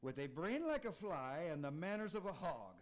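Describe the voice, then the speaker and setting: A man's voice: on the high side, sing-song, moderate pace. A man playing a quarrelsome devil in an amateur rhymed radio play, with theatrical delivery. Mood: scornful. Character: quarrelsome, insulting devil at a council in hell.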